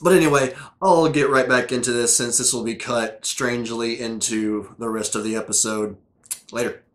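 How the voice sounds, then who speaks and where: A man's voice: medium pitch, fairly even intonation, moderate pace, a bit bright. Scratchy-voiced man rambling casually. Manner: loose and chatty, many fillers and restarts. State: scratchy sore throat, losing voice. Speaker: host reviewing episodes. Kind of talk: casual rambling commentary to camera.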